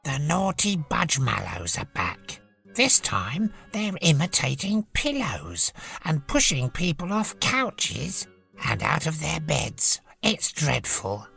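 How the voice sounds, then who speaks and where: A woman voicing a small male flying toy creature in a children's story, earnest and grave, with a gravelly, creaky voice. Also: low-pitched, big pitch swings, takes it slowly, a bit bright, faint music behind, heavily acted. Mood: grave, dismayed.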